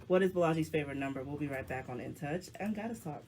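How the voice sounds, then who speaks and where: Hoarse deep-voiced woman hosting a live chat. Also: fast talker, a little mellow, a little hiss or hum. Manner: chatty, slangy asides to viewers. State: recovering from flu, hoarse. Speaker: talk show host. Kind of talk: casual live-stream chat.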